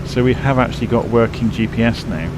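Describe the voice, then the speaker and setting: A man streaming a flight simulator, explaining cockpit instruments in a rambling, self-correcting way, his voice croaky and tired from a late night. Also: mid-range voice, quick pace, noisy background. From England. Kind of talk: casual live-stream commentary.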